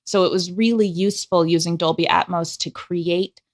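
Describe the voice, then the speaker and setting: A woman's voice: on the low side, fairly even intonation, normal speed. A woman speaking as a creative guest in an interview, explaining things emphatically and with enthusiasm. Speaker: guest, audio drama creator.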